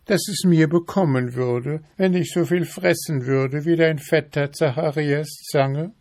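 A man's voice: measured pace, a little mellow, no echo, clean studio sound. A man voicing a preachy, nagging female beetle who pesters her husband with insistent questions, in a storybook reading. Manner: insistent, high-pitched questioning.

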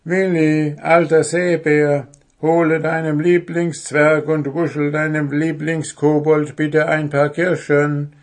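Man doing a higher-voiced dwarf, loud, pleading, storybook. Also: flat delivery, slow. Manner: whiny, exclaiming. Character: hungry, pleading male dwarf.

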